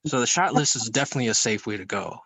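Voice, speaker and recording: Creaky-voiced man explaining casually. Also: mid-range voice, lively intonation, rapid-fire, bright, forceful tone, no room sound. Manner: informal, explains through hypothetical examples.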